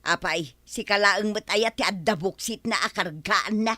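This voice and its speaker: An actress with an Ilocano accent playing a put-upon, quarrelsome village wife in an audio drama, given to exclaiming and complaining. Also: female voice, medium pitch, normal speed, pushed and bright, dry recording, lightly acted. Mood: angry, aggrieved.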